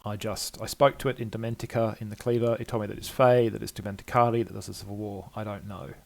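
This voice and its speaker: A man acts out a fretful scholar from a fantasy game journal, gravelly and quick. He rambles, repeats himself and frets over his own sanity. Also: lowish voice, flat delivery. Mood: agitated. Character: anxious, muttering male scholar doubting his own sanity. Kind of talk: dramatic reading of game journal entries.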